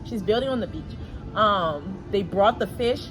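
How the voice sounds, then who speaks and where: Softly prompting woman host. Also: mid-range voice, expressive, measured pace, low-quality recording. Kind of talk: casual interview chat. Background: music.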